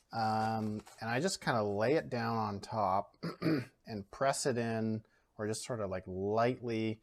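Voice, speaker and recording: A man teaches a watercolour activity from his home studio, speaking in a fairly low voice. He talks through each step in an easygoing way, filling pauses with ums and uhs. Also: a little hiss or hum. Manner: relaxed, talks through steps with many fillers. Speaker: art educator. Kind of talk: casual art demo.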